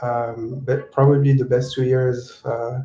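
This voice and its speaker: A man from Morocco giving a tech seminar talk and answering interview questions, halting with many fillers and restarts. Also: medium pitch, flat delivery, warm tone. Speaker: guest speaker, technical program manager. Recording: roomy echo.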